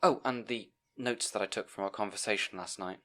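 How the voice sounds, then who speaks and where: A man's voice: on the low side, a little fast, pushed and bright. A man playing a timid, bookish young scribe in a fantasy audio roleplay, hesitant and apologetic, rambling and stammering. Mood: awkward, unsure. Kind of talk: audio roleplay acting addressed to the listener.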